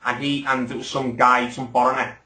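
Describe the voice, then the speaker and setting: A man talks quickly and with opinions in a casual podcast chat, often seeking agreement. His voice is bright and forceful. The room gives it a noticeable echo. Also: mid-range voice, level tone. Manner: opinionated, rambling, asks for agreement. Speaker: podcast host.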